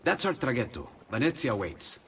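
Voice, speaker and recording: An actor playing a friendly, timid and excitable Italian inventor with an Italian accent in a game cutscene. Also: male voice, medium pitch, normal speed, slight background noise. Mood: warm, reflective. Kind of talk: video game cutscene acting.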